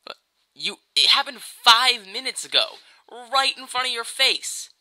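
An amateur performer voicing a whiny, exasperated boy hero with a sarcastic, halting, casual delivery in a video game parody. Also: mid-range voice, animated, pushed and bright.

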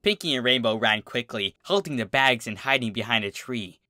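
A narrator reading a story aloud in an animated, expressive way. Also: mid-range voice, fairly quick, no room sound, studio quality, faint music behind. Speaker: fan fiction reader.

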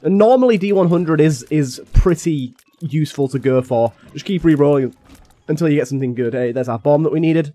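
Slightly raspy young man, under the weather. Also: fairly high pitch, brisk, mellow, dry recording, soft background music. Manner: chatty, thinks aloud while playing. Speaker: gaming YouTuber. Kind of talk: casual gameplay commentary.

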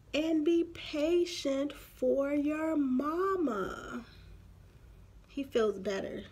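A woman in her thirties reading a picture book aloud expressively for young children, pausing for explanatory asides. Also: high voice, slightly rough. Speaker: children's story reader. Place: small room at home, indoors.

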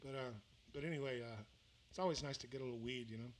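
Brief: older Southern-raised male musician, loose rambling stage banter, mid-pitched voice, faint room hum behind. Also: quick pace. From Mississippi, raised in Louisiana. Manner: rambling, relaxed, full of fillers and restarts. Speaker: musician performing a livestream. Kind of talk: casual between-song chat. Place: small music venue, indoors. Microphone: vocal mic on a stand.

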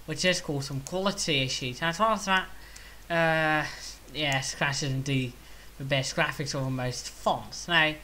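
A young man giving casual, unscripted video commentary in a halting way, thinking aloud. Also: fairly high pitch, sharp tone. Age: young adult. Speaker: video creator commenting on viewer feedback. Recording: hissy home recording.

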